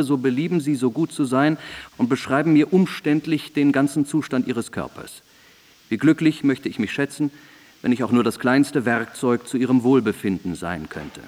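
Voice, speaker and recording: Actor as devoted, formal student, long reverb. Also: male voice, medium pitch, darkish tone, lightly acted. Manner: formal, clearly phrased, old-fashioned diction. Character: devoted, deferential male student of a revered professor. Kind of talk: radio drama document reading. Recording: reverberant studio, digitized cassette radio play.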